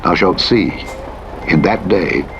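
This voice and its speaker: A man narrates scripture in a solemn, formal style, with measured and dramatic delivery. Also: low-pitched, expressive, lo-fi sound. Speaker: audiobook Bible narrator. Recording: loud truck engine noise over voice. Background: truck engine and vehicle sounds from driving simulator game.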